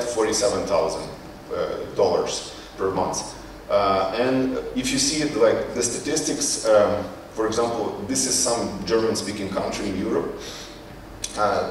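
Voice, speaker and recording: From far off in a very echoey hall with a faint bed of noise comes a man's slightly low voice. He has a Ukrainian accent and speaks non-native English. He presents informally, haltingly, often searching for the right word. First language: not English. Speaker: conference speaker, company CEO. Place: conference hall.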